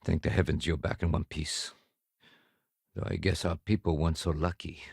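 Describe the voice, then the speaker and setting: A man voicing a gruff, no-nonsense police sergeant in a video game, brusque, authoritative and plain-spoken. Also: sits low, fairly even intonation, moderate pace, darkish tone, no room sound, clean studio sound, exaggerated voice. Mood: relieved.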